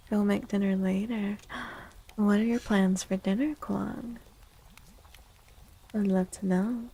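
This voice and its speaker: A woman streamer speaking in a soft, breathy ASMR style, often near a whisper, chatting gently and casually close to the mic. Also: mid-range voice, low-quality recording. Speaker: live streamer hosting chat. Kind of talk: soft-spoken ASMR, casual live chat.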